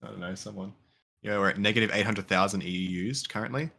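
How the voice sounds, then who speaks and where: A man's voice: lowish voice, quick pace, creaky, sharp tone, breathy edge. A man chatting casually while streaming a modded game, rambling and swearing loosely. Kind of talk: casual gaming chat.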